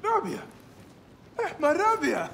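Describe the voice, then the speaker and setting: An actor playing a driven, vengeful warrior of ancient Egypt in a video game, with a terse, resolute manner. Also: male voice, extremely high pitch, wildly swooping pitch, slow pace, creaky, fairly bright tone, in a large hall, a little hiss or hum, exaggerated voice. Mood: fond, dismissive. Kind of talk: video game cutscene acting.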